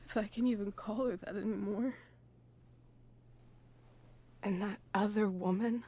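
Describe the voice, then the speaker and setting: A woman playing a disillusioned, hurt teenage girl in a video game, speaking softly and pensively, often close to a whisper. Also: mid-range voice, fairly even intonation, breathy edge. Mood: bitter, hurt. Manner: slow, halting, pensive delivery. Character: disillusioned, wounded teenage girl confiding in her friend. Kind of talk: naturalistic video game voice acting.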